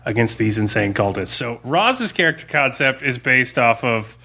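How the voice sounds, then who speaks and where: A man's voice: mid-range voice, big pitch swings, normal speed, bright, forceful tone. A man co-hosting a gaming podcast, telling a wry, casual anecdote as a game master among friends.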